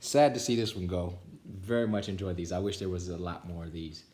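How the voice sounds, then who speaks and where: A man's voice: deep voice, steady tone, fairly quick, a little mellow. A man reading a children's storybook aloud and chatting casually with viewers. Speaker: storybook reader. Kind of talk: animated read-aloud and casual sign-off. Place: indoors at home. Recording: roomy indoor echo.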